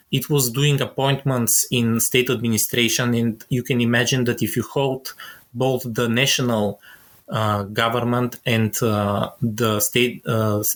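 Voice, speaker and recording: A man from Bulgaria with a Bulgarian accent, a journalist guest giving halting, analytical answers as he searches for words in English. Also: mid-range voice. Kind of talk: explanatory interview answers.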